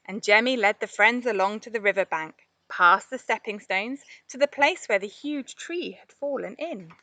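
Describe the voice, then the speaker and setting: Bright-voiced woman reading a children's story. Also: medium pitch, animated, moderate pace, no room sound. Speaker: storybook reader. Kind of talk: expressive scripted children's narration.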